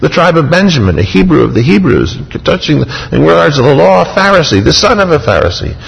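A man's voice: a little high, sing-song, fast, vocal fry. A man preaching a sermon, emphatic, retelling scripture in a vivid first person. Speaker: pastor. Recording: long hall reverb.

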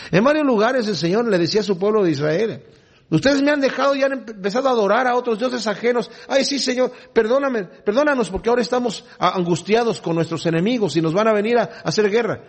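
Guy preaching a bible study, kinda high voice, a bit husky, reads verses then chats about them. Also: quick pace. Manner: reads scripture aloud, then explains in conversational asides. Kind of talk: Bible teaching sermon.

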